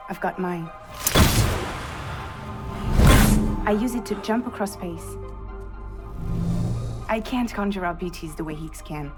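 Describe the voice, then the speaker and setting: A woman playing a weary, guarded courier with wry defiance, speaking in clipped, deliberate phrases in a naturalistic video game drama. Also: lowish voice, natural voice. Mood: explaining, guarded. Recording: game audio under prominent music.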